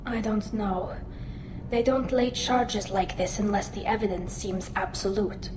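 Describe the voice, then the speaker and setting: A woman's voice: medium pitch, a little slow, noisy background. A woman playing an earnest, anxious and loyal young alien engineer in a video game, speaking through a helmet filter. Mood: worried, sincere. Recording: roomy game audio with music behind.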